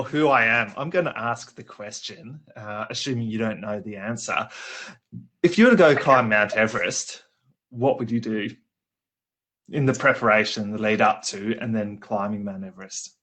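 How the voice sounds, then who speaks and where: Male leadership guest, bright, forward tone, anecdotal, laughs often. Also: on the low side, moderate pace. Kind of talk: casual interview over a live video call.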